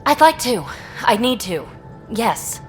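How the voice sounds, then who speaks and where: Restless young spaceship scientist, flippant woman, slow lively swings, video game, music behind. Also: fairly high pitch. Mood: weary. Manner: halting, expressive, breaking into breathy asides.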